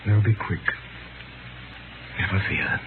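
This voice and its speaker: Doomed noble man, calm n solemn, real slow, old 1930s radio play, thin hissy sound. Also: deep voice. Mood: gentle, reassuring. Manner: solemn, measured, theatrical delivery. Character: condemned man, calm, tender, nobly resigned to death. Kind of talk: 1930s radio drama acting. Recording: scratchy, noisy, tinny 1930s radio recording.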